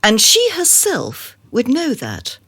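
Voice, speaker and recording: A woman's voice: fairly high pitch, wildly swooping pitch, slow pace, pushed and bright, husky, no room sound, heavily acted. An actress playing a haughty, cold female boss in a radio drama, speaking in an imperious, condescending and theatrical way.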